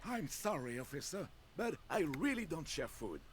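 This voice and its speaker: An actor playing a smug, urbane male writer in a game, speaking with polite, measured self-satisfaction. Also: a little high, sing-song, over a noisy crowd. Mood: courteously firm. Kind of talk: game dialogue acting.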